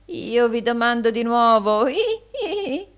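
A woman narrator puts on a fawning old male moneylender from a storybook. The voice is pitched high and swings widely. He gushes and whines, repeating his flattery slyly. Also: slow. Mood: whining, servile. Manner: gushing, repetitive, exclaiming with groans and chuckles.

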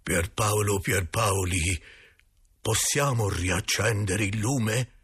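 A timid, tearful cook speaks slowly and haltingly as a man reading a story acts him out. His voice trembles and is very husky, with the air of a frightened coward. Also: on the low side, a bit bright, exaggerated voice. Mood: timid, shaken. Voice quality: tremulous. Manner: slow, hesitant.

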